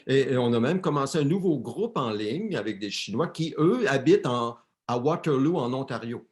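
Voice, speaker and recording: A man's voice: medium pitch, lively intonation, moderate pace. A man interpreting a sermon live for a church, often repeating and correcting words as he translates.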